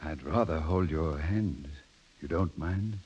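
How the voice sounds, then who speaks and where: An actor playing a suave, condescending English gentleman officer and seducer in a 1930s radio drama, sardonic and smooth-talking. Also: very deep voice, measured pace, exaggerated voice. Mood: suave, amorous. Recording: dull, muffled 1930s radio recording.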